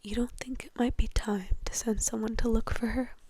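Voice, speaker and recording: A woman voicing a mild, weary middle-aged wizard father in a breathy whisper for a storybook reading. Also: a little high, steady tone, normal speed, mellow, no echo. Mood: tentative. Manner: tentative, mildly exasperated.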